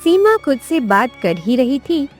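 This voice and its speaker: A woman's voice: high voice, big pitch swings, unhurried, a little mellow, soft background music. A woman narrating a scripted moral tale for a cartoon, as a storyteller.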